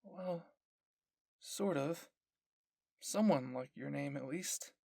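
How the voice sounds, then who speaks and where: A man playing an earnest, hesitant young woman in an audio story, explaining haltingly. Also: fairly high pitch, gravelly, darkish tone, no echo, studio quality, exaggerated voice.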